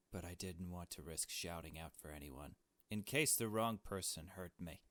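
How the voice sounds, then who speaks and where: An earnest young captive from a visual novel, acted out by a man, frightened and trapped. The voice sits fairly low and is recorded dry and close. The delivery is halting and pleading, read as dramatic game dialogue. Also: a bit bright, dry recording.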